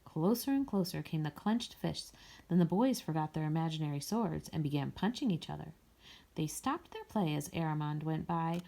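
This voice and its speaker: Woman reading a storybook out loud, lowish mellow voice, bit of fry. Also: animated, moderate pace. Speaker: story reader. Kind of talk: scripted storybook narration, read aloud.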